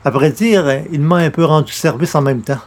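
A man's voice: fairly high pitch, normal speed, a little hiss or hum, lightly acted. A man playing a genial, bumbling newspaper columnist in a radio comedy, hesitant and coaxing. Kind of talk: old-time radio comedy acting.

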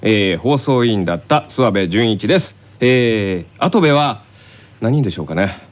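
A man co-hosting a radio show, switching between scripted announcements and lively banter. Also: fairly low pitch, moderate pace. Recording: muffled radio audio with loud music behind. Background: music.

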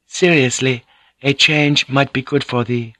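A man voicing a courteous, gentle Japanese noblewoman in an audiobook, speaking softly and coaxingly. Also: mid-range voice, steady tone, measured pace, dry recording, voice pushed up. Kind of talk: audiobook character voice.

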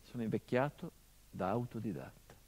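An actor playing a hardened, grieving middle-aged son, delivering a measured theatrical monologue that drops to hushed tones. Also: male voice, medium pitch, expressive, breathy edge. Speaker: stage actor.

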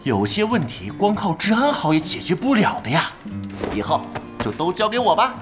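A goofy, earnest young tough in an audio drama. He is boisterous and naive, his lively pitch jumping around, with music playing behind him. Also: normal speed. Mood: earnest, cocky.

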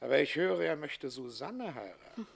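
An actor playing a cynical, mocking older gentleman in a radio comedy, dry and sardonic in delivery. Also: a little high, sing-song, normal speed, vocal fry, exaggerated voice. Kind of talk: theatrical German radio comedy acting.

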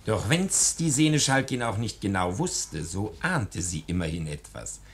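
A man's voice: fairly low pitch, big pitch swings, a little slow, husky. A man narrating a 1940s radio play in a wry, ornate storybook manner.

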